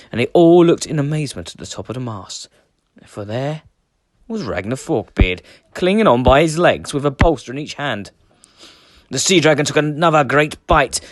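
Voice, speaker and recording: A man reading a children's story aloud with a dramatic, expressive delivery. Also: on the high side, no echo. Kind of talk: animated children's story narration.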